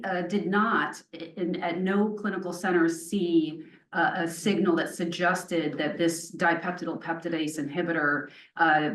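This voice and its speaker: A woman physician at a hotel conference podium, earnestly and reassuringly explaining drug safety to patients. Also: fairly low pitch. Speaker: physician answering patient questions. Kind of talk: conference Q&A answer. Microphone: podium mic, heard from the room camera. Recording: echoey room, distant mic.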